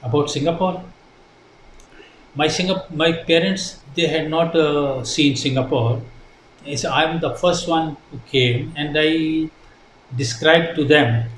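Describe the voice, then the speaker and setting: An older Indian man, Hindi his first language, answers questions earnestly and haltingly, stopping often to restart his sentences. His pitch sits in the middle of the range. The recording is echoey, with a faint hiss behind him. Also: fairly bright tone. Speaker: interviewee. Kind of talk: casual interview answers. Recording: echoey room with faint noise.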